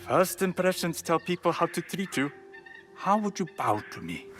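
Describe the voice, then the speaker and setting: An actor playing a courteous older Japanese tea master in a video game, measured, formal and gently instructive. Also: male voice, high voice, very animated, over music. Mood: serene, instructive. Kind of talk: video game cutscene dialogue.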